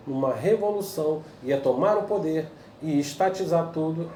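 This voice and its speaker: A Brazilian man with a somewhat high, mellow voice teaches history in a recorded video lesson, pausing on fillers and asking "tá?" to check his pupils follow. He sounds a little distant from the microphone, in an echoey room with a faint hiss underneath. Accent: Brazilian Portuguese. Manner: explaining patiently with frequent "tá?" check-ins and hesitant "é, é" fillers.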